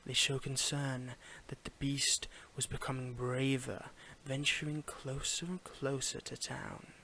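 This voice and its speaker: Man playing a soft-spoken courteous Victorian footman, noisy recording. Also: mid-range voice. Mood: quietly worried. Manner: formal old-fashioned phrasing, gentle, halting, lapsing into whispers. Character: courteous, flirtatious Victorian footman, weary, gentlemanly. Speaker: audio roleplay performer. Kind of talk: intimate ASMR roleplay acting.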